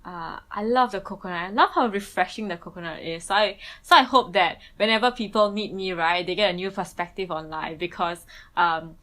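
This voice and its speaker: A young woman from Bangladesh speaks in a relaxed, conversational interview. Her voice sits a little low. She fills her sentences with "like" and "um" and sometimes restarts a word. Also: fairly quick. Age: young adult. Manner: chatty, frequent "like" and "um" fillers, self-restarts. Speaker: student interviewee. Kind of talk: casual personal interview.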